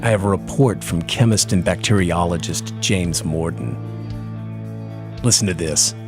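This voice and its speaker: A man playing the sly, spooky tomb-keeper host of a horror radio series, speaking theatrically with ominous, deliberate pauses. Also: sits low. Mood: sly, inviting. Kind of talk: old-time horror anthology radio hosting. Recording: music behind the voice.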